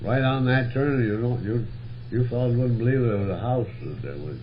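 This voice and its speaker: A man's voice: mid-range voice, fairly quick. An older man reminiscing casually about the past in folksy, plain country speech. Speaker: interviewee recalling local history. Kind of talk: casual oral history reminiscence. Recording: dull noisy old reel-to-reel tape with hum.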